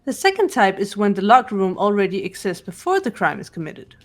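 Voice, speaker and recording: A woman plays a composed teenage girl detective from a mystery visual novel. She lays things out precisely and deliberately, in a mid-pitched analytical voice over game music. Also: sing-song, lightly acted. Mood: calm, analytical. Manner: deliberate, precise, lecturing delivery.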